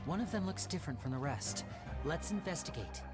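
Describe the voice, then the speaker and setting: A man's voice: fairly high pitch, expressive, a little fast, natural voice. An actor playing a composed, clever and showy young male stage magician in a video game. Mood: pondering. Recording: game audio with faint music.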